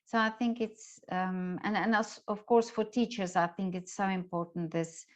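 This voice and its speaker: A woman's voice: mid-range voice, steady tone, normal speed. A middle-aged woman hosting a casual interview in a chatty, effusive manner, recorded with a dull, muffled sound. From lives in Vienna, Austria. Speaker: interviewer, series host.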